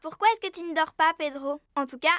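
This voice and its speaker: Casting: inquisitive sheep child, female, extremely high, bright, frank, for children's cartoon. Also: quick pace, a little hiss or hum. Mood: curious. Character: little girl sheep, curious and frank. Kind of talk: preschool cartoon dialogue.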